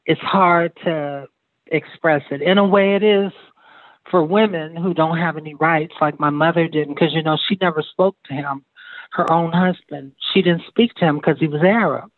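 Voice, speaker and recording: A woman's voice: low voice, no room sound. Outspoken woman on a tinny phone line. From Sudan. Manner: blunt, outspoken, speaks at length. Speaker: guest. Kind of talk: candid personal interview.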